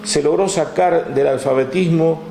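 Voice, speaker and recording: A man with an Argentine accent, telling history in a relaxed chat, with many "este" fillers, reading out figures from a text. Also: a little high, moderate pace, creaky, roomy sound, slight background noise. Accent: Argentine Spanish. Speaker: guest storyteller. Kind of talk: conversational history talk.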